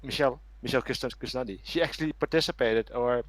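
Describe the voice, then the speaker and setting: Male panelist, mid-pitched, casual informational delivery, very little room sound. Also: fast, no echo, rough recording. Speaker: podcast panelist.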